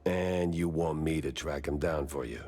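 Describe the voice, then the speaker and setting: A man voicing a hard-boiled, cynical mouse private eye in a 1930s noir cartoon game, with a gravelly, dry, sardonic delivery. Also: rumbling low, normal speed, warm tone. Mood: cool, sardonic. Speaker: private investigator. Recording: voice over music.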